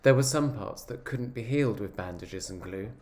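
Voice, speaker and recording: A man voicing an earnest, wistful egg who tells his own story in a measured, reflective picture-book narration. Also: fairly low pitch, a little mellow, close to an everyday voice.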